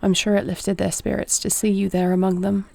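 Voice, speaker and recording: A woman playing a duty-bound, caring warrior princess in an audio roleplay, speaking to the listener in a formal, measured, creaky voice. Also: medium pitch, flat delivery, natural voice. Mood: sorrowful, tender. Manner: formal, measured, soft-spoken speech.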